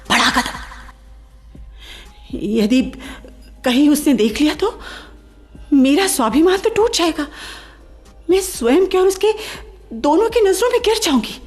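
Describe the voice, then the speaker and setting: An actress playing a proud, hesitant young woman torn by secret longing in a theatrical Hindi radio play. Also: sits high. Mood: proud, agitated. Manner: theatrical, swinging between urging and refusing herself.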